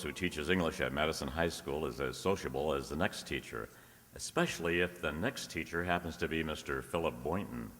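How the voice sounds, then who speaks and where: Vintage radio announcer acted by a man, bottom-deep voice, grand selling delivery, hall reverb. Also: fairly bright tone, a little hiss or hum. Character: smooth-selling old-time radio announcer.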